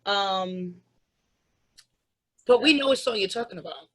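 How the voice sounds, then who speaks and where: A woman's voice: medium pitch, measured pace, low-quality recording. A woman hosting a casual live stream and putting questions to her guests. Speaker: host relaying chat questions.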